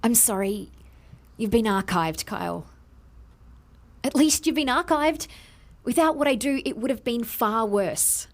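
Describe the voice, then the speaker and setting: An Australian actress playing a guilty, defensive inventor who earnestly explains herself in an audio drama. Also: female voice, fairly high pitch, animated, a little slow, long pauses. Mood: apologetic.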